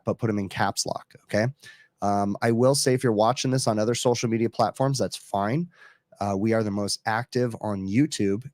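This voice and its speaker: A man with a lowish voice, a little hoarse, rambling easily through a live stream with plenty of "um"s and "you know"s. The sound is dry and close, with no room around it. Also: darkish tone, no room sound. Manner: rambling and easygoing, many fillers. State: slightly hoarse. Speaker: HVAC service technician hosting a live stream. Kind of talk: casual live stream chat.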